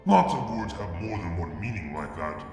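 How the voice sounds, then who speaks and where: A man reading a fanfic aloud in a soft, halting first-person narration. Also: sits low, lively intonation, roomy sound, in a large hall, faint music behind. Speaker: fanfic reader. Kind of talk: dramatic story reading.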